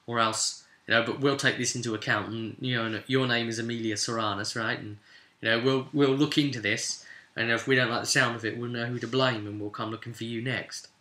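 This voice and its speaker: A man's voice: medium pitch, steady tone, a little fast, pushed and bright, close to an everyday voice. A man voicing a stern, suspicious Templar knight in a tabletop game, questioning and threatening in a bossy voice. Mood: warning. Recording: roomy, echoing room sound.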